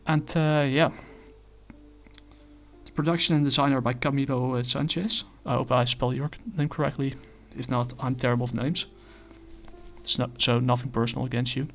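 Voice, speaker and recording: Male comic reviewer, middle pitch, monotone, hesitant with lots of fillers. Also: faint music behind. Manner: halting, many fillers and restarts. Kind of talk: casual spoken review.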